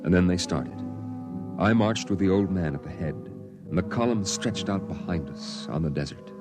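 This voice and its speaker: A man playing a somber storyteller recounting his boyhood on Mars, in measured, dramatic first-person narration for a vintage radio drama. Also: low voice, animated. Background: dramatic music.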